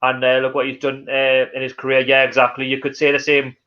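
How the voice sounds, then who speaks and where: A man's voice: medium pitch, completely flat delivery, fairly quick, lo-fi sound. A man with a Geordie accent hosting a casual live stream, reading out viewer comments and reacting with lots of fillers. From North East England. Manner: reads viewer comments aloud and reacts, chatty with many fillers. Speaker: livestream host.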